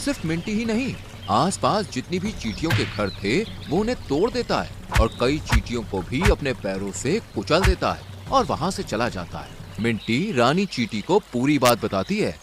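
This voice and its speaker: A man's voice: medium pitch, very animated, moderate pace, few pauses, music in the background. A man narrating a scripted cartoon story.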